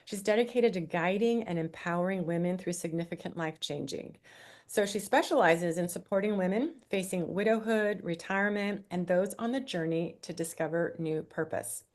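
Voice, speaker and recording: A woman's voice: lowish voice, normal speed, darkish tone. A woman moderating a panel discussion, introducing speakers in an upbeat way.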